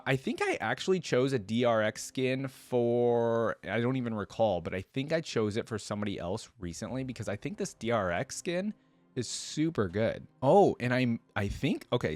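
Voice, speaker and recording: A man's voice: fairly low pitch, a little mellow, dry recording. A man giving casual, unscripted reaction commentary as a video host, enthusiastic and rambling with informal, slangy wording.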